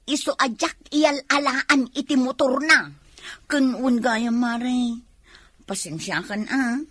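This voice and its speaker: A woman's voice: high-pitched, slow pace, a bit bright, no echo, clean studio sound, full character voice. A woman with an Ilocano accent playing a suspicious, scolding village wife in a comedy drama. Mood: sheepish.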